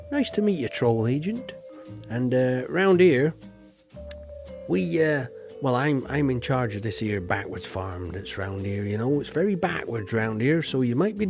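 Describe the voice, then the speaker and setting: Give me a man chatting casually to his livestream viewers, rambling and folksy, mid pitch, faint music in the background. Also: a little mellow. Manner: rambling, folksy, full of "like, you know". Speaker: livestream host.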